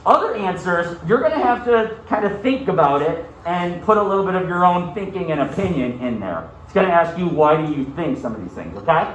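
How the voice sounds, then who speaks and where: A man's voice: high-pitched, big pitch swings, a little fast, hardly any pauses, muffled recording. A male school teacher giving a classroom lesson in an instructional way, often checking in with short questions. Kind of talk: classroom lesson with read-aloud. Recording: echoey room, far from mic, faint noise.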